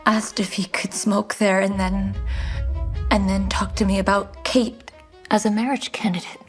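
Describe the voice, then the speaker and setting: A woman acts out a young aristocratic daughter in an audio drama, clear music behind her. She speaks softly and deferentially, hesitant on the surface and quietly sly underneath. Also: mid-range voice, flat delivery, unhurried, close to an everyday voice. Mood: nervous, evasive. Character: sheltered young noblewoman daughter, meek but quietly sly. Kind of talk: dramatised audio fiction acting.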